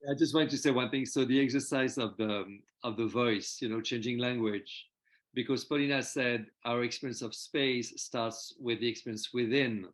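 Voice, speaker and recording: A man's voice: medium pitch, fairly even intonation, moderate pace. A man co-hosting a casual discussion, hesitating and repeating words as he works out his thoughts. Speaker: co-host.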